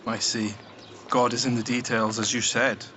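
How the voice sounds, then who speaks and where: A man acting a gentlemanly, cerebral Victorian detective with a formal, precise, courtly manner in a radio drama. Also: medium pitch, flat delivery, normal speed, slight background noise, lightly acted. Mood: earnest, sad.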